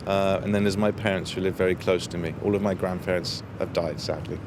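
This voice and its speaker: A man with a fairly low voice gives considered interview answers. He is outdoors in town, with clear traffic rumble around him. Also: fairly even intonation, fairly quick. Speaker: street interviewee. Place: outdoors in a city. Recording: noisy outdoor urban street, traffic rumble.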